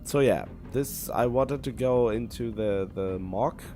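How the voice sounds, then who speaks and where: A man's voice: mid-range voice, slow pace, warm tone. A man chatting casually on a game livestream in a rambling, wry, self-deprecating way. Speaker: video game live streamer. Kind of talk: casual livestream chat and commentary. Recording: music behind the talk. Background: game music.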